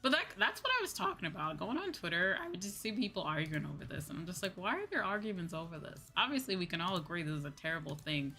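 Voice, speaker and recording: Bright-voiced woman chatting casually on stream. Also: lowish voice, sing-song, fast, hardly any pauses, soft background music. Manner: informal ranting, slangy and profane. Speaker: VTuber livestream host. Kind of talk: casual livestream chat.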